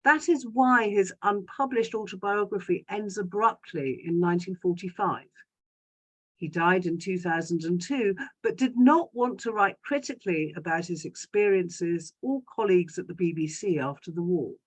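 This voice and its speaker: A woman, reading a prepared personal talk in an articulate, measured and formal way. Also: on the low side, slightly rough, low-quality recording. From London, England. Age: 60s. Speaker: guest speaker giving a talk about a parent. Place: indoors in a home study, on a video call.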